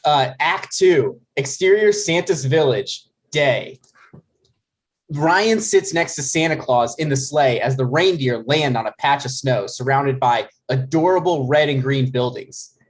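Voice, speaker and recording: A man's voice: mid-range voice, measured pace. A man reads scripted stage directions as narrator of a table read. His voice sits in a middle register, and he delivers scene headings and action lines.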